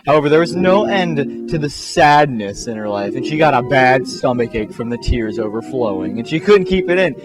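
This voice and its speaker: A man's voice: mid-range voice, animated, moderate pace, few pauses. A man narrating a comic fan-fiction story in a theatrical, mock-serious way. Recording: music behind the voice.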